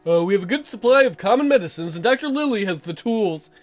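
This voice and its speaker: Man putting on a high-voiced, halting colony mayor. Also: lively intonation, faint music behind. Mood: practical, explaining. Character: colony mayor, practical, official, faintly goofy leader.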